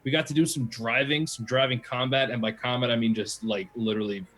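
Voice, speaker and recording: A man's voice: medium pitch, steady tone, brisk, a bit bright. A man who streams games, chatting casually as a player. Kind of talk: casual chat.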